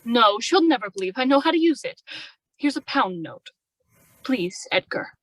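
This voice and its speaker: A woman's voice: fairly high pitch, sing-song, normal speed, a bit bright, low-quality recording. A woman playing an anxious, persuasive young wife in an amateur radio drama, earnest and pleading.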